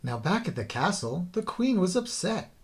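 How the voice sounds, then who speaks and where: A man narrating a rhyming children's story in a theatrical, deliberate way, drawing out the ends of phrases. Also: high voice, very animated. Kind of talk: dramatic scripted children's story narration in rhyme.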